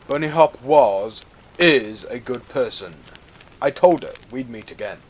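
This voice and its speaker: A man's voice: medium pitch, lively intonation, a little slow, a little hiss or hum, rough recording. A man playing an earnest, authoritative rabbit starship captain in a sci-fi audio drama. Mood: grave, regretful. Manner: authoritative, solemn. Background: music.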